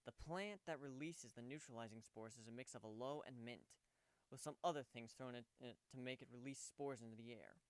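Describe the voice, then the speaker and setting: Man voicing a wry, smooth-voiced gentle pony stallion. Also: medium pitch, a little fast, no room sound, natural voice. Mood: explaining.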